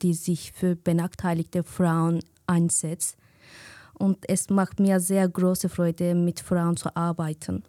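A woman from Turkey, speaking slowly and hesitantly as a guest on a community radio show, pausing often to find her words. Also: lowish voice, dark tone, no echo, clean studio sound. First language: Kurdish. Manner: hesitant, searching for words with many fillers. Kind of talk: casual radio chat.

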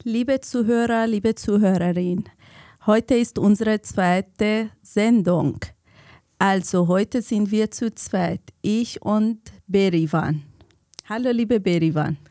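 A woman's voice: medium pitch, slow pace, dark tone, dry recording, studio quality. A woman hosting a community radio show, asking questions in a friendly, encouraging way. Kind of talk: casual radio chat.

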